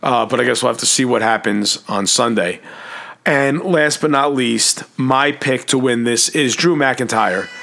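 A man with a raspy, hoarse voice, hosting a casual wrestling predictions talk, enthusiastic and profane, rambling with restarts. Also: medium pitch, fairly bright tone. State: hoarse, clearing throat. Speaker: wrestling podcast host.

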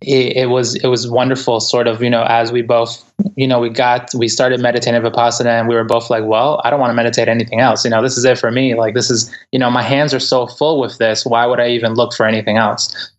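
Earnest, flat-voiced man from Ecuador. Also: medium pitch, fast talker. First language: Spanish. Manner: earnest, thoughtful, many soft fillers. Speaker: guest author. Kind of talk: reflective interview conversation. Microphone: remote interview over the internet.

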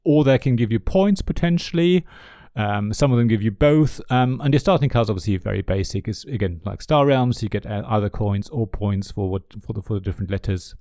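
A man whose first language is German, chatting casually about board games as a podcast co-host, with a relaxed, rambling delivery full of restarts. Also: fairly low pitch, a little fast, no echo, studio quality. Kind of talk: casual board game chat.

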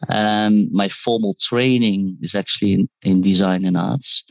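A man's voice: sits low, steady tone, unhurried, creaky, no echo. A man born in South Korea and raised in the Netherlands, talking as an interview guest about his work, thoughtful and prone to restarting words. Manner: thoughtful, restarts words, quotes others in asides. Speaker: guest, startup founder and design teacher. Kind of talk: conversational interview.

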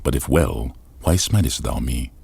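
A man narrating scripture in a grave, deliberate, formal reading style. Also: a bass voice, vocal fry, a little mellow, slightly rough, dry recording. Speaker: Bible reader.

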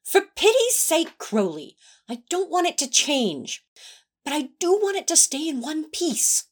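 A woman's voice: high-pitched, very animated, a little slow. A woman playing a prim, anxious male angel who scolds in exasperation, in a fan audio drama. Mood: pleading, firm.

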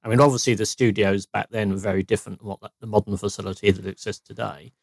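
A man's voice: fairly low pitch, fairly even intonation, fast, creaky, no echo, clean studio sound. A man from England co-hosting a podcast, chatting casually and joking, with fillers along the way. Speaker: podcast co-host. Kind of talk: casual chat.

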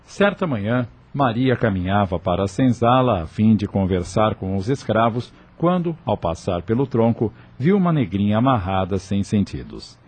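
Brazilian guy narrating a radio soap, lowish raspy voice, scripted storytelling. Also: darkish tone.

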